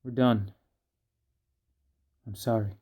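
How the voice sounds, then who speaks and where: A man's voice: lowish voice, steady tone, slow, dark tone, breathy edge, exaggerated voice. A man playing a grim, somber team leader in a fan audio drama. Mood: defeated.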